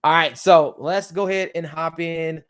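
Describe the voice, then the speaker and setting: A man's voice: a little high, steady tone, normal speed, fairly bright tone, clear, resonant tone. Rambling man talking through dental aligners. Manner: loose and rambling, many ums and you-knows. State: speech slightly thickened by dental aligners. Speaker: crypto channel host. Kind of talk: casual tutorial talk to viewers.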